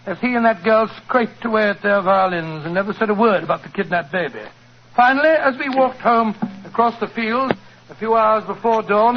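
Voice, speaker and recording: An actor playing a loyal, easily baffled British gentleman in a blustering 1940s radio drama style. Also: sits high. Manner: blustering, indignant. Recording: muffled, noisy 1940s radio recording.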